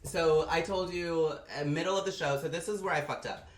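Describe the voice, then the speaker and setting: Campy podcast host in an echoey room. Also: male voice, on the high side, fairly quick, noisy background. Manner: campy, loud, swearing, shifts from hushed to shouting. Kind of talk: casual podcast chat. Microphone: studio mic.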